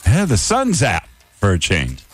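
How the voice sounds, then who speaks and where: Casting: male radio DJ, lively swinging pitch, weather reads over music. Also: measured pace. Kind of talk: radio weather and station patter. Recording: music bed behind.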